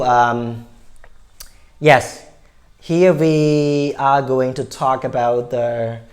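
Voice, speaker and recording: Slow-talking Cambodian-accented man teaching English. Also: mid-range voice. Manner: slow, repetitive, checks in with "all right?" and "okay?". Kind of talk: casual spoken lesson.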